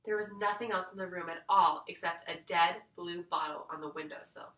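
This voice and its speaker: A woman reading a children's story aloud in an expressive storytelling style. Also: lowish voice, fairly quick. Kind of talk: expressive scripted storybook narration. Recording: roomy echo, distant mic.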